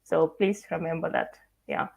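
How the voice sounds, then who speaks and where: A woman from South Sudan moderating a panel discussion, polite and measured, asking long questions. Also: low voice, wildly swooping pitch, creaky, highs cut off.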